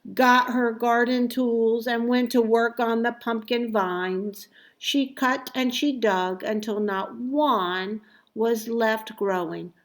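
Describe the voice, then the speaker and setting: Woman, storybook narrator, fairly high pitch, very unhurried, expressive, emphatic key words. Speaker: storybook reader.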